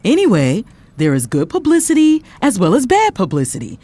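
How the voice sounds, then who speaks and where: Woman as bossy big sister, lively pitch swings. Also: fairly quick. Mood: persuasive. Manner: persuasive, managing, emphatic. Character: bossy, polished older sister, family peacemaker and strategist. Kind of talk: audio drama acting.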